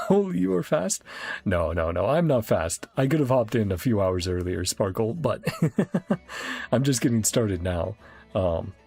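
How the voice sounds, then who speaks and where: A man doing relaxed let's-play commentary, chuckling a lot and half-reading the game's lines aloud. His voice has a gravelly, fry-tinged edge and swings widely in pitch. Faint game music plays behind him. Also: measured pace. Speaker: gaming streamer. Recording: game music playing underneath.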